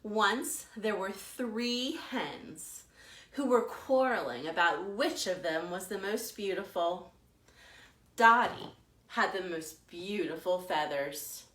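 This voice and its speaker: A bright-voiced American woman tells a story for preschoolers in a roomy, echoing space. Her pace is slow and deliberate, and she leans on words for dramatic emphasis as a children's librarian would. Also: medium pitch. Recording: roomy echo.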